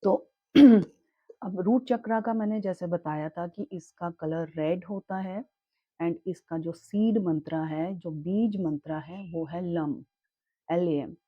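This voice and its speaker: A young woman teaching in a calm, reassuring way, with a sore throat that makes her clear it often. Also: fairly low pitch, takes it slowly, no echo, lo-fi sound. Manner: calm, reassuring, mixes English words into her talk. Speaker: chakra healing teacher. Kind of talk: casual spoken tutorial.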